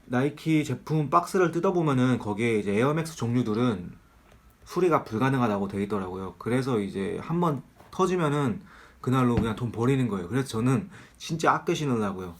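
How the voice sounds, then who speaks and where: A man's voice: mid-range voice, expressive, brisk. Man with a cold, nasal and chatty. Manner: chatty, candid, self-deprecating about money. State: has a cold, voice nasal and strained. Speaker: sneaker reviewer. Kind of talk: casual product review.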